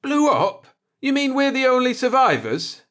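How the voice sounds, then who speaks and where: A man voicing a blunt, demanding male spaceship navigator in an audiobook, questioning forcefully and urgently. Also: very high-pitched, big pitch swings, normal speed, voice pushed up, full character voice. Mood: shocked. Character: tall, lean, keen-eyed spaceship navigator, blunt and demanding. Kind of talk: dramatised audiobook character dialogue.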